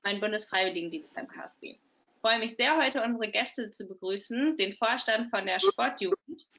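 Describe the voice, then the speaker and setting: A woman's voice: a little high, lively intonation, moderate pace, a bit bright. A woman in her twenties hosting a podcast over a video call, asking friendly questions. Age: 20s. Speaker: podcast host. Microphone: video call from home. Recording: dull lo-fi video call audio.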